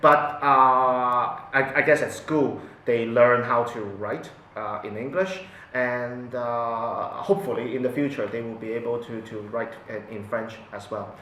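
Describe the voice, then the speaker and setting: A man's voice: mid-range voice, steady tone, measured pace, hardly any pauses, pushed and bright, recorded from a distance, a little hiss or hum. Hesitant Hong Kong-born man, roomy echo. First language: Cantonese. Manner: hesitant, many restarts and fillers. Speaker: parent sharing his experience. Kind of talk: unscripted talk to camera. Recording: echoey room, mic set back.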